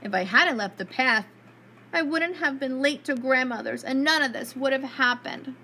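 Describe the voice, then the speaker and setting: A woman's voice: sits high, normal speed, sharp tone, a little hiss or hum. A woman voicing a sweet, trusting little girl in a fairy tale, with an earnest, innocent delivery. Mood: regretful.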